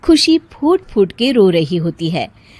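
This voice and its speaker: Casting: female cartoon story narrator, mid pitch, expressive melody, scripted delivery. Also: takes it slowly, no echo.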